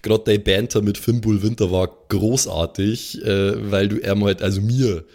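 A man's voice: lowish voice, steady tone, a little slow, darkish tone. A man chatting casually and colloquially with slang, looking back as a game master. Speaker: game master on an actual-play podcast.

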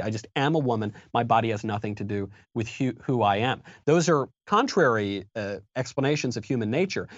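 A man speaking as a guest author in a political interview, pressing his argument with steady emphasis. His voice sits in a middling register, and it comes through a slightly dull, lo-fi line with a very dry sound and no room echo. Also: mid-range voice.

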